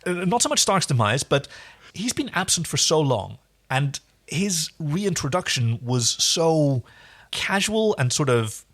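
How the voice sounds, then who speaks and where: A man's voice: on the high side, sing-song, unhurried, a bit bright. A man co-hosting a podcast, chatting casually and enthusiastically about an episode, often breaking off and restarting his thoughts. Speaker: podcast co-host. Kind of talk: casual episode review chat.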